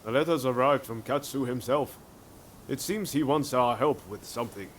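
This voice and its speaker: A man's voice: mid-range voice, moderate pace, a little hiss or hum, close to an everyday voice. A man playing an earnest, idealistic samurai in a video game cutscene, speaking informally and reflectively. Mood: resolute, reflective. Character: earnest, idealistic male samurai eager for change.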